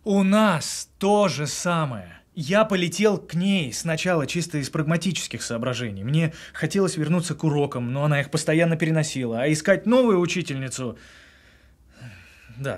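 Evasive husband, raspy-edged voice, halting confession style, self-justifying, naturalistic audio drama. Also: male voice, a little high, animated. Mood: earnest, self-justifying. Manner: halting, pausing mid-confession. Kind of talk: naturalistic audio drama with murmured inner monologue.

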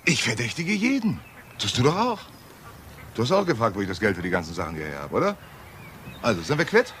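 An actor playing an evasive, sardonic lone-wolf police detective in a radio crime drama, with a casual, needling manner. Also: male voice, mid-range voice, huge pitch swings, quick pace, a bit bright. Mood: firm. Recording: clear background noise.

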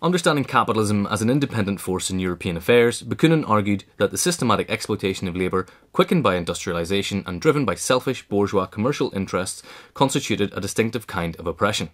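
A man's voice: lowish voice, expressive, fast talker. A man reading scripted nonfiction narration as an audiobook reader. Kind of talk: scripted narration of a political history essay.

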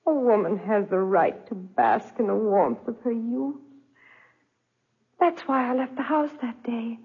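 A lonely, timid young wife in 1940s radio melodrama. Her voice sits fairly high and speaks in brooding, theatrical phrases. The old broadcast recording is somewhat dull. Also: unhurried, breathy edge, heavily acted. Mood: wistful. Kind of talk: 1940s radio drama acting and narration.

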